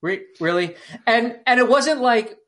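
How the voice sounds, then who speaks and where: A man's voice: extremely high pitch, animated, a little slow, fairly bright tone. A man co-hosting a podcast, chatting casually about films in an exclamatory, incredulous way. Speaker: podcast co-host. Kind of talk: casual film discussion.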